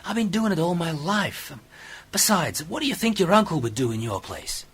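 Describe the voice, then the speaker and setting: A woman's voice: on the low side, sing-song, moderate pace, husky, full character voice. A woman playing a cautious, secretive investigator in an amateur radio play, insistent and explanatory. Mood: explaining, persuasive. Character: cautious, secretive female investigator spying on a cult.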